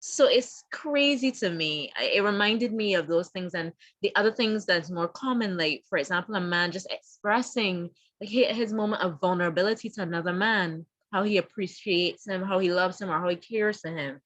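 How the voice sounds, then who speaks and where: A woman speaking as a webinar panelist in an animated, conversational way. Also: on the low side, moderate pace, hardly any pauses, no room sound. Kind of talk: panel discussion on a video call. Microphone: video call.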